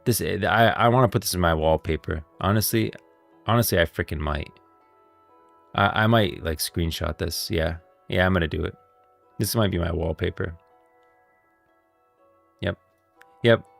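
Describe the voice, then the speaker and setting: A man's voice: deep voice, normal speed, vocal fry, long pauses. A man giving casual, enthusiastic livestream commentary on game lore, speaking emphatically and in slangy language. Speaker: live streamer, lore commentator. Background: music.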